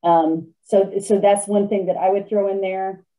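A woman's voice: medium pitch, fairly even intonation, a little slow, warm tone, rough recording. A woman with a Midwestern American accent moderating a webinar on a video call, relaying questions in a hesitant way with many ums. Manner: hesitant, filler-heavy.

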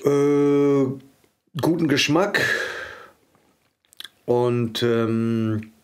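Man, forties, podcast guest: animated, laughing, salty casual talk, studio mic. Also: mid-range voice, drawn-out words, clear, resonant tone. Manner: animated, laughing, swears casually, lots of fillers. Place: podcast studio with foam panels. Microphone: studio condenser mic on boom arm, wearing headphones.

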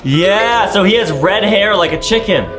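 A man's voice: high-pitched, animated, moderate pace, fairly bright tone, faint music behind. A young American man co-hosting a gaming video with casual banter. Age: young adult. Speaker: gaming YouTube co-host.

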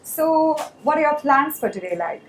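A woman interviewer asking quick upbeat questions and giving encouraging replies. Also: high-pitched, expressive, faint music behind, low-quality recording.

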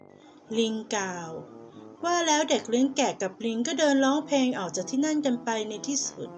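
High-voiced woman reading a fable. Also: animated, a little fast, a little mellow, soft background music. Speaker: storyteller.

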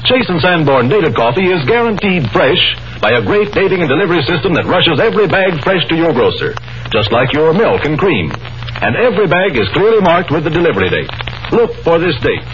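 An American man delivers a formal 1930s radio advertisement. His fairly high voice rises and falls with great liveliness, on a muffled vintage recording. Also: very animated, a little fast, fairly bright tone. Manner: formal, earnest sales pitch. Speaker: radio announcer. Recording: dull 1930s radio broadcast.

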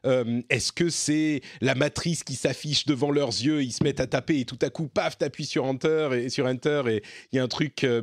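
A man's voice: medium pitch, no echo, studio quality. A man hosting a French tech podcast, talking casually with many fillers and restarts. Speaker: podcast host.

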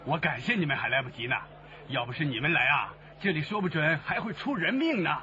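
A man playing an excitable, admiring restaurant owner in a radio drama. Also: a little high, fairly quick, a little hiss or hum. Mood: grateful. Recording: dull sound amid loud crowd.

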